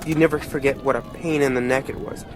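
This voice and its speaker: Music plays under a man acting a wry male storyteller with a mid-pitched voice. He narrates a comic tale in a folksy, streetwise manner, reflecting as he goes. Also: steady tone, fast, lightly acted. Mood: somber, reflective. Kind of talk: colloquial scripted narration of motion comic.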